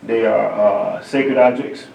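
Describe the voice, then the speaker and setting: A male drummer being interviewed, with a gravelly voice at an ordinary pitch, who gropes for words and restarts often before landing on his point. He is heard in an echoey room, somewhat away from the microphone, with faint background noise. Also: fairly even intonation, darkish tone. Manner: thoughtful, halting, many fillers. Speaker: drummer, guest. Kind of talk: interview answers. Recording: roomy sound from a distant mic.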